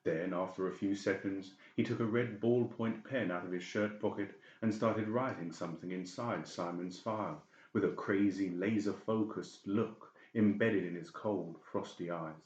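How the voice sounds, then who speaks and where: Low-pitched male horror audiobook narrator. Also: moderate pace, a little mellow, roomy sound, dull audio. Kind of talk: dramatic scripted horror narration.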